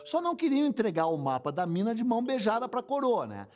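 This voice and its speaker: A man's voice: very high voice, wildly swooping pitch, fast talker, a little mellow. A man narrating a history documentary in a wry, storytelling manner.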